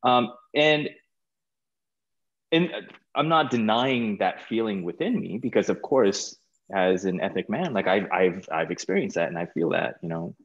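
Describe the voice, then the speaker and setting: A middle-aged American man chatting casually as an interview guest over a video call, informal and halting, often repeating words. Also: fairly low pitch, animated, muffled recording. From California, United States. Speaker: guest, stage performer. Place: small plain room indoors, video call.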